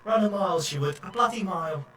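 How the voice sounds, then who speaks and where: A man's voice: sits high, a little fast, fairly bright tone. A man playing a dry, blunt, mocking mercenary companion in a comic audio drama. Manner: sarcastic, blunt.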